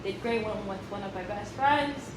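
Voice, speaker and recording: A woman's voice: mid-range voice, moderate pace, reverberant, noisy background. A woman in her thirties chatting casually and enthusiastically in a vlog, rambling with many fillers and restarts. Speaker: vlogger.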